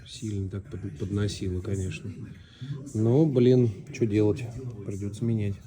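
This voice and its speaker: Hoarse man talking through an engine repair. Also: lowish voice, steady tone, measured pace, a crowd in the background. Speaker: auto mechanic, channel host. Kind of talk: casual repair walkthrough. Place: car repair garage. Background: music.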